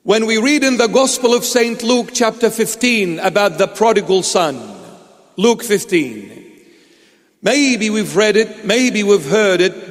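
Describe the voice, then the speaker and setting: A man preaching a sermon, emphatic and repetitive, asking rhetorical questions with playful asides. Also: sits high. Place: church hall. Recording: long reverb of a large hall.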